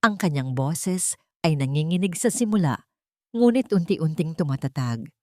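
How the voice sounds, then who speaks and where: A woman's voice: low voice, lively intonation, moderate pace, mellow, no room sound, studio quality. A woman narrating a scripted dramatic story.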